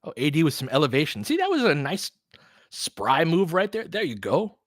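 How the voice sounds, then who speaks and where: A man's voice: a little high, sing-song, normal speed, no room sound, studio quality. A man hosting a live basketball stream, giving casual, analytical commentary and chatting with viewers in between short reactions to the play.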